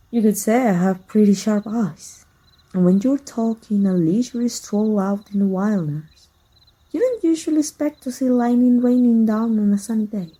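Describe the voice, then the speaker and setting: A woman playing a jealous, possessive villain in an intimate ASMR roleplay, soft-spoken, teasing and menacing. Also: mid-range voice, husky, close to an everyday voice. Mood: teasing, probing.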